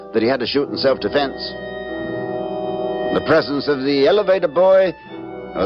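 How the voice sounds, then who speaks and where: Actor as a troubled husband in vintage radio drama. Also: male voice, medium pitch, close to an everyday voice. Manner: theatrical, halting with repeated words. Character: troubled husband, stage performer, confessing to police, darkly wry. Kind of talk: old-time radio drama acting, narrating a confession. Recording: dull vintage radio recording with music.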